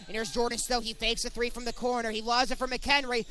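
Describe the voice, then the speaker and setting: A young man commentates basketball in a very high, bright voice. His play-by-play is excitable, following each pass in short clipped phrases. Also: quick pace. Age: young adult. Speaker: sports commentator.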